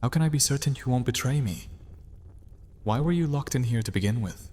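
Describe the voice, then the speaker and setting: A man's voice: medium pitch, fairly quick, mellow, lightly acted. A man playing a guarded, impatient wandering thief in a fantasy audio drama, speaking in a terse, wary, dismissive way. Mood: distrustful. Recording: long cavernous reverb, music underneath. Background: music.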